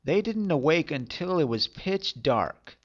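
A man's voice: on the high side, big pitch swings, unhurried, dull audio. A man narrating a fairy tale as a storyteller, reading expressively.

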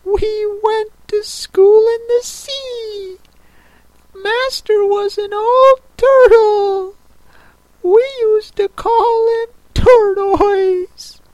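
A woman voicing a mournful, touchy, sobbing turtle creature from a storybook, with a drawn-out, tearful delivery. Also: very high voice, dry recording, pitched above the performer's own voice, low-quality recording.

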